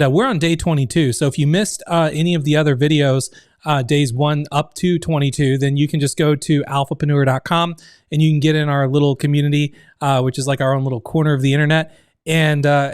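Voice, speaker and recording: A man in his thirties hosts a casual livestream from his home office, his voice slightly raised and smooth even though it sounds strained and close to giving out. He chats loosely with lots of fillers. The sound is dry and close, with no room around it. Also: a little high, no room sound. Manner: chatty, many fillers, reads out chat comments. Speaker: community founder hosting a livestream.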